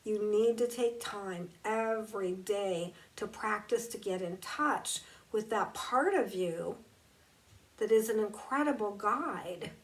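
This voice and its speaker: A woman speaking as a spiritual teacher in a reflective, conversational talk, slowing down to draw out key words. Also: medium pitch, recorded from a distance, low-quality recording. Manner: slow, emphatic and conversational, drawing out key words.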